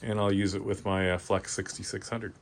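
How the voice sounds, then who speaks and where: Man giving a halting equipment walkthrough. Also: sits low, flat delivery, slight background noise. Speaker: amateur radio hobbyist presenting his equipment. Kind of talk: informal show-and-tell demonstration.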